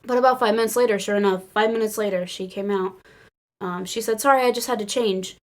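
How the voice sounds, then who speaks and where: An American woman casually telling excited personal stories in an animated, giggly way, peppering her speech with "like". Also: mid-range voice, quick pace. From Illinois, United States. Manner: animated, giggly, says "like" often. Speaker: podcast crew member telling fan stories.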